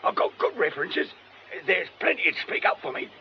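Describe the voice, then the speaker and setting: Casting: ingratiating working-class watchman, animated and eager, muffled radio drama sound with some hiss. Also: high voice, brisk, creaky, full character voice. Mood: hopeful. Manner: hesitant, chummy, ingratiating.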